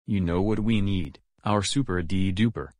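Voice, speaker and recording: A man's voice: sits low, a little mellow, dry recording, clean studio sound, close to an everyday voice. A man voicing a big friendly purple cartoon dinosaur who guides young viewers with simple, encouraging words. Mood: cheerful, encouraging. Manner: slow, simple, encouraging, goofy hums. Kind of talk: children's show dialogue, guiding young viewers.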